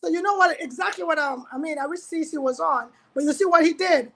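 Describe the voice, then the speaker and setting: High-voiced woman bantering over sports. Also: brisk, a bit bright. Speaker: co-commentator. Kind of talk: casual chat and sports commentary.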